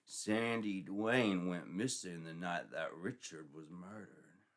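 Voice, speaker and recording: Man acting a stern low-voiced police sergeant. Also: fairly bright tone. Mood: grave, suspicious. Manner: measured, grave, interrogating.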